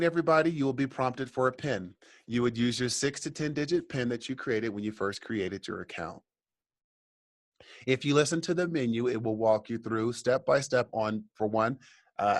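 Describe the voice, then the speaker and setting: A man with a medium-pitched voice leads a how-to tutorial. He explains things step by step and addresses the viewer directly. The sound is dry, without room reverb. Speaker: tutorial instructor. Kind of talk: software tutorial walkthrough, semi-scripted explanation.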